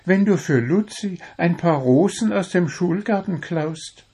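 A man's voice: high-pitched, a little slow, dark tone, breathy edge, voice pushed up, heavily acted. A man voicing a small, proud, cajoling blue bird with romantic airs in a storybook. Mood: confident, persuasive. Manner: confident, cajoling, unruffled. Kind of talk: storybook character voice.

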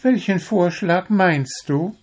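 A man's voice: a little high, slow, darkish tone, voice pushed up. A man voicing a small, proud, cajoling blue bird with romantic airs in a storybook. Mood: puzzled. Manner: confident, cajoling, unruffled. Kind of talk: storybook character voice.

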